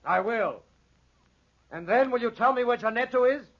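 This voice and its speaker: A man's voice: very high-pitched, normal speed. An actor playing a scheming, insistent man who coaxes and wheedles, in a 1940s radio drama. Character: scheming man coaxing a child with bribes. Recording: muffled 1940s radio recording.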